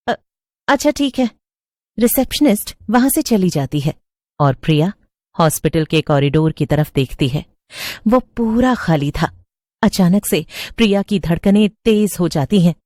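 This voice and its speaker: A woman's voice: on the low side, dark tone, dry recording. A woman reading a scripted horror story as its narrator, recorded close up.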